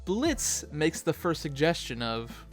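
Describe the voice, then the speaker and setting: A man's voice: a little high, very animated, takes it slowly, music in the background. A man co-hosting a fan show, delivering scripted back-and-forth commentary.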